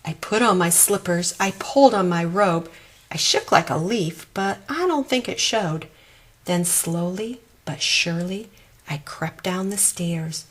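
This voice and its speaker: A woman reading a rhyming children's story aloud with dramatic, expressive delivery. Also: medium pitch. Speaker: storybook reader.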